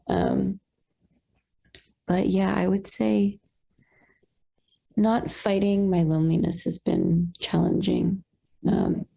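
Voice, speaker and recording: Gravelly, husky young woman on a call. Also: on the low side, mellow. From Colombian roots. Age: young adult. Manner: reflective, halting, many fillers. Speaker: satsang participant. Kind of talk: casual sharing on a video call. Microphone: video call.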